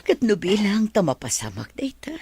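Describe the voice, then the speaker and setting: Woman acting a husky, weary Ilocano woman teacher. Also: mid-range voice, sing-song, normal speed, darkish tone, full character voice. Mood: weak, earnest. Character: ailing, grateful woman teacher of blind students. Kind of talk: Ilocano radio drama acting.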